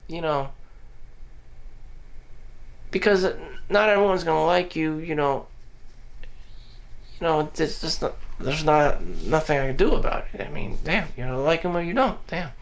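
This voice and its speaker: A man's voice: on the high side, lively intonation, a little slow, pauses often. A man talking bluntly in a rambling personal monologue for his vlog, full of "you know" fillers, restarts and casual swearing. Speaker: vlogger. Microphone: audio-only recording.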